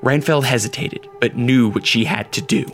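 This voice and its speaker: A man's voice: medium pitch, moderate pace, over music. A man giving scripted narration for a radio drama, as the storyteller.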